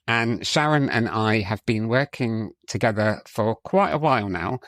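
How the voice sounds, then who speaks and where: The man who opens an interview podcast from a dry little studio, keenly introducing his guest in a lowish voice. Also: a bit bright, no echo. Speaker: podcast host.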